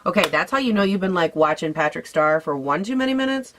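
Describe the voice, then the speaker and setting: A young woman with a raspy voice, hoarse from a cold, chatting casually and sassily through a makeup try-on at home. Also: lowish voice, lively intonation, fast talker, a bit bright. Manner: chatty, sassy, swears casually. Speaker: beauty vlogger.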